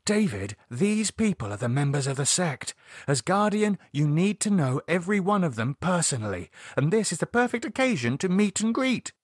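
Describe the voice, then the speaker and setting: A man voicing a bossy, worldly British woman in an audiobook, slyly ruthless, teasing and forceful. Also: high voice, very animated, moderate pace, breathy edge, no room sound, studio quality, voice pushed up, exaggerated voice. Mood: insistent. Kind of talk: audiobook character voice.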